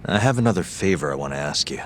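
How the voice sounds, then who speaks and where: Low-voiced cool detective. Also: male voice, animated, fast talker, slight background noise. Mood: businesslike. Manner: casual, assured, matter-of-fact. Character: male private detective, cool, confident schemer. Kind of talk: English game dub acting. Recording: music playing behind the voice.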